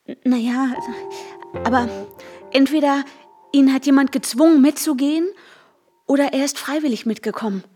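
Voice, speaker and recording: Actress as an anxious, high-voiced young-sounding searching woman. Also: moderate pace, pauses often, soft background music, close to an everyday voice. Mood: urgent, pleading. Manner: urgent, questioning, often dropping to a hushed whisper. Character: anxious, insistent woman searching for her vanished lover. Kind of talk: radio drama dialogue and intimate first-person narration.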